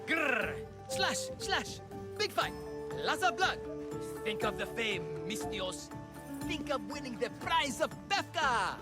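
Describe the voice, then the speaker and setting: A man's voice: very high voice, very animated, slow, heavily acted. An actor playing a flamboyant, scheming ancient Greek showman in a video game, grandiose and prone to flustered stammering, with music behind him. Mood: urgent, coaxing. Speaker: carnival-style promoter. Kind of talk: theatrical video game acting.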